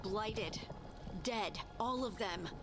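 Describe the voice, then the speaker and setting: Stern warrior in loud noise. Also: female voice, mid-range voice, very slow. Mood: grim. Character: stern, accusing woman warrior demanding justice. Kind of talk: dramatic video game acting. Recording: game audio with loud background noise.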